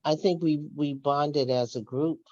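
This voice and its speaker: A woman's voice: deep voice, fairly even intonation, a little slow, warm tone, dry recording. An older woman casually telling her recollections as a participant in a panel discussion, heard over a call. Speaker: workshop participant and writer. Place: remote call-in to online event. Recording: muffled, band-limited call audio.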